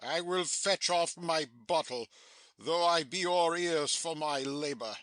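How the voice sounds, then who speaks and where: Guy doing a boozy blustery butler playing king, slow n pompous, dry recording. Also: on the high side, a bit bright, no echo. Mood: indignant. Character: drunken, blustering butler who fancies himself king. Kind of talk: dramatic Shakespearean audiobook reading.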